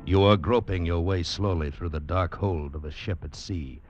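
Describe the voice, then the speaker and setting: A low-voiced man narrates with slow-burning radio suspense. His dramatic announcer delivery comes through a muffled vintage recording, with music clearly behind him. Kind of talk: 1940s radio scripted narration.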